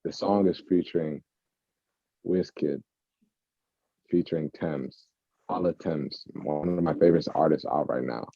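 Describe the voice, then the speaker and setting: A man's voice: extremely low pitch, measured pace, pauses often, husky, no echo, lo-fi sound. A man co-hosting a casual podcast, chatting loosely and breaking into bits of song. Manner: breaks into off-key singing of song snippets. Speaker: podcast co-host. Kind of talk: casual chat.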